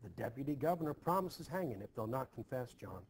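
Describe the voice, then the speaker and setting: A man voicing an anxious, dutiful Puritan farm wife in a play reading, measured and quietly reproachful. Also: medium pitch, big pitch swings. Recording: noticeably hissy home screen recording.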